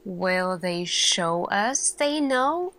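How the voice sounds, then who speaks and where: A woman acting as an earnest, thoughtful lead scientist addressing her team in a soft-spoken ASMR roleplay, speaking deliberately with long pauses. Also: medium pitch, expressive. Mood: pensive, grave.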